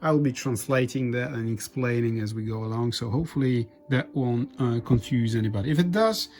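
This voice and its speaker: A man whose first language is Polish, hosting a board game playthrough in casual, halting commentary. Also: medium pitch, a little mellow. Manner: halting, frequent restarts and fillers. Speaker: board game channel host.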